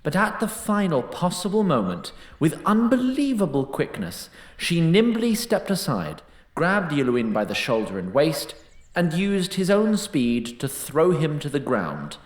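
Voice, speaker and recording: Theatrical male narrator with very animated delivery. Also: a little high, a little slow, echoey room. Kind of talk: dramatic scripted storytelling narration.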